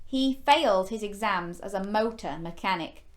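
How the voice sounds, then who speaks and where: A woman's voice: mid-range voice, normal speed. A woman hosting a children's story time, reading a picture book expressively with exclamations and playful questions to young listeners. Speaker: children's storyteller and show host.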